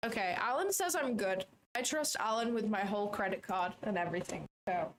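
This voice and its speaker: A woman's voice: medium pitch, animated, a little fast. A woman hosting a trading livestream from her office, chatting casually, thinking aloud, second-guessing herself and swearing now and then. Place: small office.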